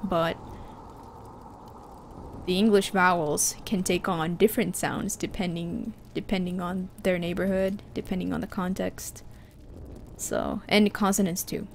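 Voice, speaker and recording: A woman's voice: on the low side, fairly even intonation, unhurried, gravelly, a little hiss or hum. A woman from Finland, a native Finland Swedish speaker, chatting casually on a livestream and answering her chat, with frequent restarts. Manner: chatty, restarts often, reads chat aloud. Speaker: streamer.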